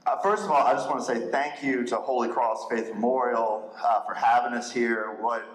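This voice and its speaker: Big-voiced male historian lecturing in a church hall, casual and chatty, mid-pitched, roomy echo. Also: normal speed. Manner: conversational, many fillers, jokes with the audience. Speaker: historian giving a talk. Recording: echoey hall.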